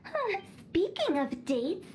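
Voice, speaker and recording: High-pitched, playful young funeral director. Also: female voice, big pitch swings, darkish tone, a little hiss or hum, heavily acted. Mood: cheerful, teasing. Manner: playful, sales-pitch delivery with theatrical drawn-out asides. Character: eccentric young female funeral parlor director, morbidly cheerful pitchwoman. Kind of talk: anime video game voice acting. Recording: music behind the voice.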